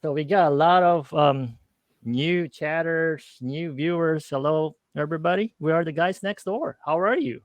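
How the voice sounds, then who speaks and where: A man's voice: fairly high pitch, moderate pace, no echo, lo-fi sound. Upbeat Filipino-accented man, dry mic. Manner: upbeat, hesitant with many fillers. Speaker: podcast co-host. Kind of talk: casual chat.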